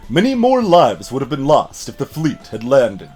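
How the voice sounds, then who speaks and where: A man live-streaming a game with playful, animated delivery, chatting casually and reading the game text aloud. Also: medium pitch, moderate pace, slightly rough. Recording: music behind the voice. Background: game music.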